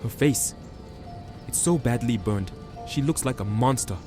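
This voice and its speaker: A man as a halting, watchful narrator over music. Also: mid-range voice, natural voice. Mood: shocked. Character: watchful hidden observer, troubled chosen one, resolute. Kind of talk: first-person dramatic narration. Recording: music behind the voice.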